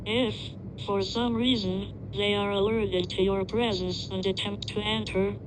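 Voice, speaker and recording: A woman playing an impassive emergency broadcast announcer, reading grim survival instructions in a formal, measured, detached way for an analog horror piece. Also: medium pitch, noisy background, close to an everyday voice.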